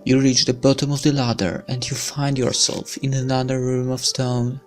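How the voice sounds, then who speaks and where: A let's player slowly reading a visual novel's story aloud in a hushed, theatrical way, with casual asides. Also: mid-range voice, flat delivery, soft background music. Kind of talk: slow dramatic read-aloud of game text with casual asides.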